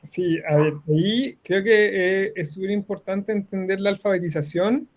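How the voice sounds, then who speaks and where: High-voiced Chilean man explaining. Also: normal speed. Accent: Chilean Spanish. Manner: articulate, explanatory. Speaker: guest, science educator. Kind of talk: interview answer.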